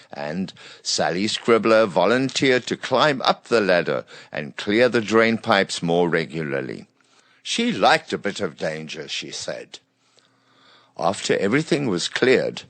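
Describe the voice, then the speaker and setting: Male narrator for children's bedtime tales. Low register, animated expressive reading, dry recording. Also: no echo. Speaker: storyteller.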